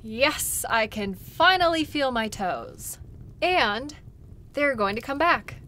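A woman playing a friendly, caring dog sled guide in an intimate audio role play, gentle and teasing. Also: sits high, lively intonation, takes it slowly, full character voice. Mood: warm, apologetic.

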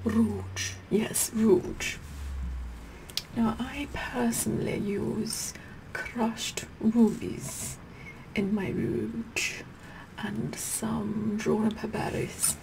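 Woman doing a snooty bossy queen, asmr half whisper, really slow, bit of creak, noisy background. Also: on the high side, fairly even intonation, heavily acted. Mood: regal, bossy. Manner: pompous, deliberate, repeats words softly. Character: haughty, sassy, bossy English queen, vain and regal. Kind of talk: ASMR roleplay makeup tutorial, whispered and soft-spoken.

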